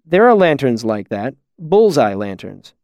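A man's voice: mid-range voice, big pitch swings, a little mellow, dry recording, studio quality. A man voicing a young male student inventor in an audiobook, respectful and earnest, eager to explain himself. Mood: defensive explaining. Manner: earnest, quick to explain, deferential. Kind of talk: audiobook character dialogue.

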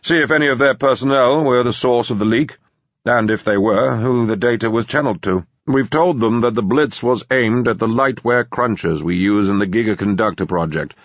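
A man voicing a sober corporate security chief in an audiobook, measured, formal and matter-of-fact. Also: mid-range voice, dry recording, highs cut off, voice pushed up, lightly acted.

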